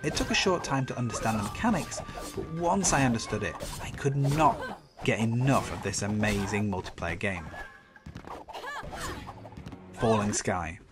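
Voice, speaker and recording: A man with a stuffy nose from a cold, reading an enthusiastic, upbeat scripted game roundup as a channel host. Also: on the low side. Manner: enthusiastic, upbeat review delivery.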